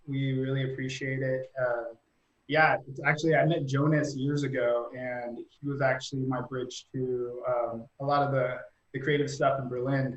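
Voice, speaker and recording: A man hosting a live stream in a casual, chatty style. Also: mid-range voice. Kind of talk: casual chat. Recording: roomy, dull lo-fi call audio.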